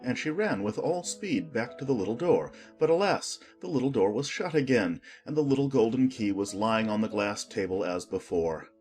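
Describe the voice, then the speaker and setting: Animated storybook narrator, lively swooping pitch. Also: normal speed, few pauses, soft background music.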